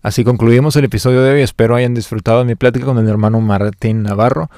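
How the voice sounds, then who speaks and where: A man hosting a faith interview, asking measured, polite questions and closing the episode. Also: medium pitch, fairly even intonation, a little mellow, no echo, studio quality. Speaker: host, interviewer. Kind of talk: interview and channel outro.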